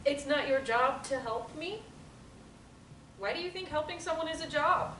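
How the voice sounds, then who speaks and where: A woman voices a collected, self-assured woman who answers rudeness with cool, cutting patience. Her voice is a touch high and lively in pitch. It sounds distant and roomy, with clear background noise. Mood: calm, patient. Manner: calm, measured, politely cutting. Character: composed, prim, self-assured woman in group therapy. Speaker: table-read actor. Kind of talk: script table reading.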